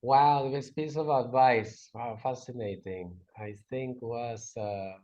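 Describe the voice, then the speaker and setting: Venezuelan man, halting non-native English. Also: mid-range voice, darkish tone, dull audio. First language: Spanish. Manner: hesitant, many fillers and restarts, non-native grammar. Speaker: guest. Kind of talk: interview.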